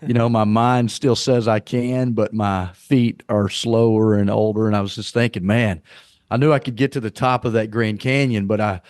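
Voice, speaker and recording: Middle-aged Southern man, low-pitched, earnest and rambling, dry close recording; podcast guest. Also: fairly even intonation, normal speed, darkish tone, clear, resonant tone, dry recording. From Arkansas, US. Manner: rambling, repeats words, earnest. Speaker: podcast guest, leadership coach. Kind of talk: casual conversational interview.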